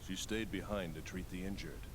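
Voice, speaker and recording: A man playing a serious, concerned soldierly character in a video game, measured and grave. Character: serious, concerned man reporting after a battle. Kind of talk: video game cutscene acting. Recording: game audio with rumbling noise.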